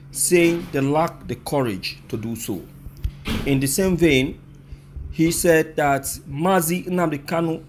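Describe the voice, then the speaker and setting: Higher-pitched Nigerian man giving news commentary. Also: slight background noise. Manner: emphatic, rhetorical, addressing listeners directly.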